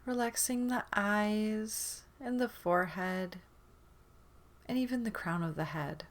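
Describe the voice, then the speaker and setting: A woman leading a guided meditation in a gentle, soothing manner, leaving long pauses between phrases. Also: medium pitch.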